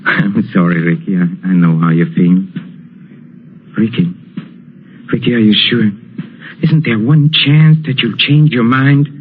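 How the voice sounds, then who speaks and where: An actor playing a fawning, obsessive older boxing trainer in a vintage radio drama, wheedling and stammering with a quiet menace. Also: male voice, lowish voice, unhurried, pauses often, lightly acted. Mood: pleading, wounded. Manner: wheedling, hesitant, stammering, with nervous chuckling. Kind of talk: 1940s radio drama acting. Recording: dull, noisy old radio recording.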